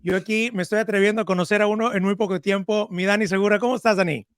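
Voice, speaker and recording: A man's voice: high-pitched, steady tone, fast, a bit bright, clear, resonant tone, dry recording, studio quality. A man hosting a casual interview, chatty and informal, putting questions to his guest. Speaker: podcast host, interviewer.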